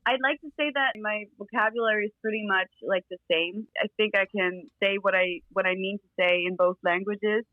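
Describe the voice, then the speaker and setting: A woman's voice: mid-range voice, a little fast, hardly any pauses, no room sound, phone-quality sound. A woman from Germany, a guest writer speaking English as a second language, friendly and chatty with frequent "like" fillers. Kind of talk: casual interview chat.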